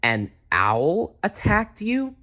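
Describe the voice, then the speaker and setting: A loving, reassuring mother bat from a storybook, played by a man. The voice is raised well up in pitch, tender and emphatic, with wide expressive swings. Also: extremely slow, muffled recording, heavily acted. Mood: amazed, tender.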